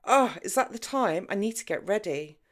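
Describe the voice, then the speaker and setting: Audiobook role: breezy, headstrong wife, voiced by a female reader, brisk and emphatic. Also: mid-range voice, expressive, pitched above the performer's own voice, lightly acted. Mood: breezy, hurried. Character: headstrong, breezy married woman seeking fun.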